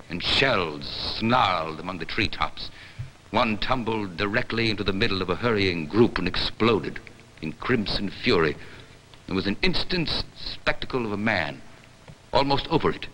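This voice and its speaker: A man giving a dramatic staged reading of literary prose, with declamatory, deliberate phrasing. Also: on the low side, pushed and bright. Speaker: actor, reader. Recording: noisy vintage 1960s recording.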